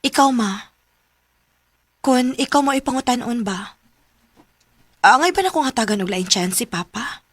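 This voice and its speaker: A young woman in a radio drama, pitched on the high side. She speaks with a Cebuano Filipino accent and comes across as guarded and frank, yet willing to forgive. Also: animated, moderate pace, long pauses, no echo. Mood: sincere, cautious. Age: young adult.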